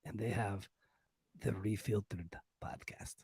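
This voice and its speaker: A man putting on a husky, halting lover. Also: fairly low pitch, fairly even intonation, darkish tone, no room sound, voice pushed down, heavily acted. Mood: seductive, playful. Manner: halting, article-dropping broken English, seductive. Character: sultry Latin lover man, flirtatious, halting, Banderas-style. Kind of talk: comic podcast sign-off in a put-on voice.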